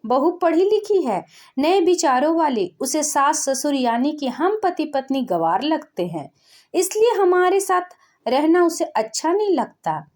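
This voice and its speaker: A woman's voice: sits high, very animated, measured pace, darkish tone. A woman voicing a poor old father from a village who speaks in a pleading, bewildered way, in a spoken storybook.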